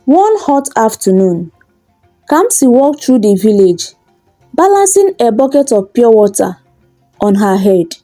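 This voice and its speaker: A woman's voice: fairly high pitch, sing-song, a little slow, warm tone, dry recording, faint music behind, rough recording. A woman narrating a scripted folktale as a storyteller.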